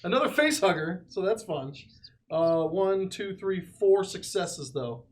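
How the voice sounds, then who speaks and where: A man's voice: sits high, expressive, measured pace. A man playing a tabletop game, chatting and joking casually in a noisy recording. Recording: clearly noisy room recording with clicks.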